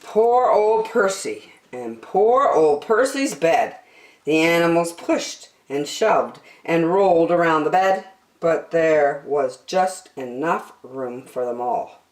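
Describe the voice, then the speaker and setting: A woman reading a children's story aloud expressively, with deliberate, dramatic phrasing. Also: low voice, lively intonation, a little mellow, roomy sound.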